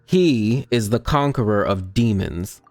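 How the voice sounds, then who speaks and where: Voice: medium pitch, expressive, a little slow, darkish tone, dry recording, faint music behind. A game player giving casual, informal and wry playthrough commentary with some swearing.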